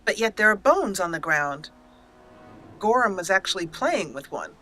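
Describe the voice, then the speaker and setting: A woman narrates a fantasy game roleplay as its host. Her voice is bright and forward, with a mid pitch and expressive storytelling delivery. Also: moderate pace, a little hiss or hum. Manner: expressive storyteller, acting out lines. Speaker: let's play host and narrator. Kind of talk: roleplay storytelling over gameplay.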